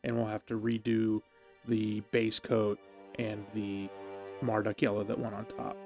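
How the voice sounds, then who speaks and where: A man's voice: lowish voice, level tone, a little slow, a little mellow. Man narrating a how-to over music. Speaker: hobby painting tutorial host. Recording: music behind the voice. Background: background music.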